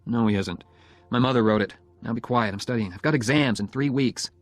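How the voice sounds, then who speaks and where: A man's voice: medium pitch, brisk, no echo, lightly acted. A man playing a proud, stubborn young law-student husband in an audiobook, curt and heated. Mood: irritated.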